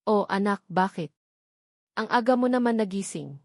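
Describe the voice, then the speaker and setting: Gentle worried mother, played by a woman narrator. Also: medium pitch, expressive, normal speed, no room sound, clean studio sound, lightly acted. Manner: tender, concerned. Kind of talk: audio drama storytelling.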